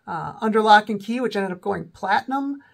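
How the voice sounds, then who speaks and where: Man, 40s, raspy from a cold, gravelly, chatty vlog host, ums and restarts, recorded in a small room. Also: sits high, lively intonation, fairly quick, fairly bright tone. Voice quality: raspy, voice may crack. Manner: chatty, lots of ums and self-corrections. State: has a cold, slightly sore throat. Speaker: rock music video host. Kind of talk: casual talking-head album rundown. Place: small indoor room with a backdrop.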